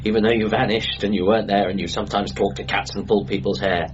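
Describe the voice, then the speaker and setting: An actor playing an anxious, eccentric, earnest son in a radio comedy drama, with a British accent and hesitant starts. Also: male voice, lowish voice, flat delivery, fast, lightly acted. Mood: tender, sad. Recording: slightly muffled, music behind.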